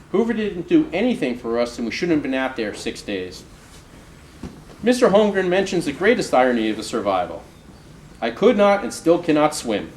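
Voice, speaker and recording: A man, an author, reads an oral history aloud in measured storytelling with emphatic phrasing. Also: on the high side. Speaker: author reading from their book. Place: live reading in a roomy indoor space. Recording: roomy, echoing live recording with noise.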